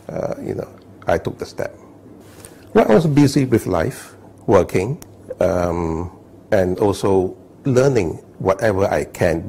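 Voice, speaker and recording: A man in his fifties from Malaysia, sitting indoors, telling his life story thoughtfully with many pauses and "all right?" checks. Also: deep voice, vocal fry, dark tone, a little hiss or hum. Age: 50s. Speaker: interviewee. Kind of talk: personal testimony, unscripted. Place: indoor living room, seated on sofa.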